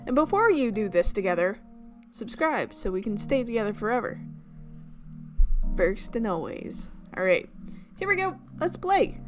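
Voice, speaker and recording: A woman doing playful let's-play commentary and reading visual novel text aloud, with bubbly little repeats and sound effects. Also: high voice. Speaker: gaming YouTuber.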